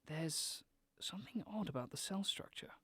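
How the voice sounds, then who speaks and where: An actor playing an eager, deferential research associate in a 1920s radio drama, polite and a little hesitant. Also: male voice, sits high, lively intonation, normal speed, bright, forceful tone, breathy edge, no room sound, full character voice. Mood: curious.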